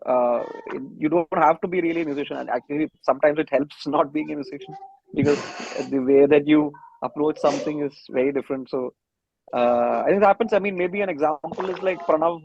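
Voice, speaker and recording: A man talking casually in an interview, halting as he thinks aloud. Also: on the high side, a little mellow, a little hiss or hum. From India. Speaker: band musician being interviewed. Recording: slightly dull, close dry sound.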